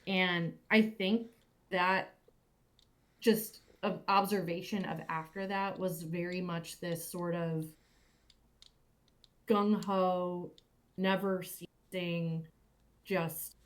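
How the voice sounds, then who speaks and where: A woman in a reflective, casual panel conversation, speaking in halting, thoughtful phrases. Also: lowish voice, fairly even intonation. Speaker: cast or crew member on a panel.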